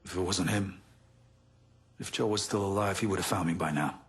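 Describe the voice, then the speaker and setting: A man's voice: low-pitched, fairly even intonation, moderate pace, husky, heavily acted. A man acting a weary, profane middle-aged gangster in a video game, talking bluntly and streetwise. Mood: bitter, grim. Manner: blunt, streetwise, heavy swearing.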